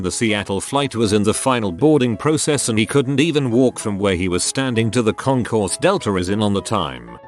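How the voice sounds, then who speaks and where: Lively low-voiced man narrating stories. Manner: lively storytelling with quoted voices. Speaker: narrator reading online posts. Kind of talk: scripted narration of internet stories. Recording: music playing faintly behind.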